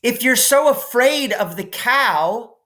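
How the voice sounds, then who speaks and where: A man's voice: extremely high pitch, lively intonation, slow pace, bright, forceful tone, voice pushed up, heavily acted. A man voicing a self-assured, friendly young tomboy girl in an audiobook, forthright and casual. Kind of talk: audiobook character voice.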